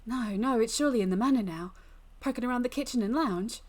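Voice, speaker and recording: A woman streamer reading a visual novel aloud as dramatic first-person narration, expressive and deliberate. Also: a little high. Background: music.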